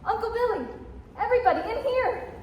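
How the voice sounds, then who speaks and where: A young woman acting in a school radio play as a loving wife, excited, urgent and joyful. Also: very high-pitched, fairly quick. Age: young adult. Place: school theatre stage. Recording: echoey, noisy theatre, distant microphone.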